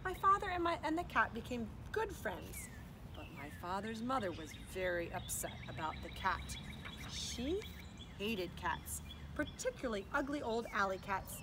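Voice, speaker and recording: High-pitched, animated woman reading a children's story. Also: moderate pace, background noise. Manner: expressive, addressing young listeners. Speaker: storytime reader. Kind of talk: animated children's read-aloud narration.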